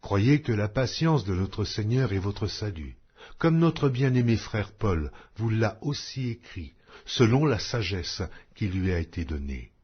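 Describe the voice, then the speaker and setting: A man reading scripture aloud in a solemn, measured way. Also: lowish voice, expressive, husky. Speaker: Bible reader. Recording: dull lo-fi audio.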